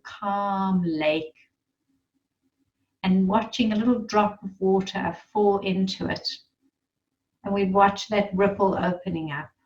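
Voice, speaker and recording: Slow, distant-sounding woman guiding meditation. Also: medium pitch, muffled recording. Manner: soothing, unhurried, gentle instruction with pauses. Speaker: meditation guide leading a group. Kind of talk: guided meditation.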